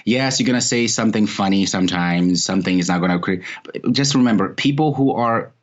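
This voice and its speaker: A man whose first language is not English, from Mozambique, talking as a guest in a casual interview in an animated way, often adding "right?". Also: fairly low pitch, a little fast, lo-fi sound. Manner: animated, repeats phrases, lots of "right?". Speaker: guest, mobile developer.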